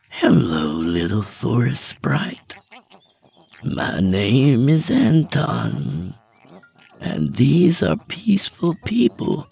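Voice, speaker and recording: A man voicing a nervous, gentle man who coaxes a small creature in a slow, strained, higher voice. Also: creaky, slightly rough, heavily acted. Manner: soft, slow, coaxing, high strained voice.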